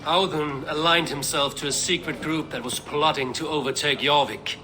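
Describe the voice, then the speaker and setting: An actor playing a steadfast Viking warrior, calm and solemn, in a dramatic game cutscene. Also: male voice, fairly high pitch, normal speed, lightly acted. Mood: calm, measured. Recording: orchestral music behind.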